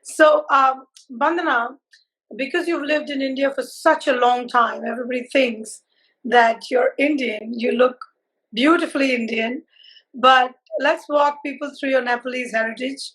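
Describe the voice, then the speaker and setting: High-voiced effusive woman host. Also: fairly even intonation, moderate pace, a bit bright, rough recording. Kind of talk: conversational interview over live video.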